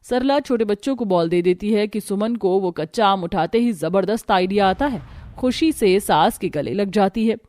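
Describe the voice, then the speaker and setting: A woman's voice: mid-range voice, expressive, normal speed, darkish tone, no room sound. A woman narrator reading a scripted family story for a cartoon.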